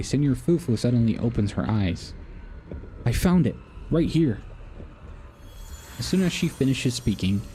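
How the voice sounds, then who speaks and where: A man's voice: mid-range voice, mellow, slight background noise, rough recording. A man streaming a game, reading its story text aloud in a dramatic way with the odd stumble.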